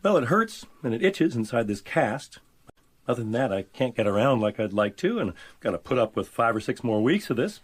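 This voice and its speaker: Injured stagehand in a radio drama, normal mid voice, casual, kinda downbeat, bit hesitant. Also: male voice, mid-range voice, sing-song, brisk, husky. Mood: regretful. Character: laid-up, regretful male stagehand and hobby sculptor. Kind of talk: radio mystery drama acting.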